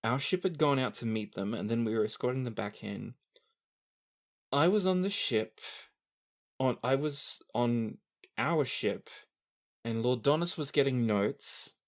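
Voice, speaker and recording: A man acts out a young fanged man fresh from the grave in a tabletop game. He speaks hesitantly and politely at a middling pitch, bewildered by everything around him. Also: lively intonation, unhurried. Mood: hesitant, concerned. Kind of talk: improvised tabletop roleplay.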